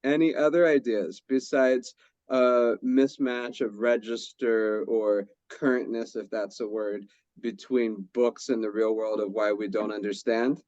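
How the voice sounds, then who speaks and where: A man's voice: medium pitch, fairly even intonation, unhurried, darkish tone, no echo. A man presenting a workshop who guides the discussion and rephrases what participants say. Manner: sums up and rephrases what others say. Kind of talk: leading a group discussion. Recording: dull lo-fi sound.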